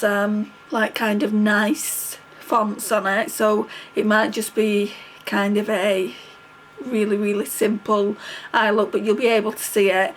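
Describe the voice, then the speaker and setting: A woman's voice: mid-range voice, slight background noise. A middle-aged woman vlogging casually while doing her makeup, chatty and rambling, with a stuffy nose from a cold. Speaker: beauty vlogger.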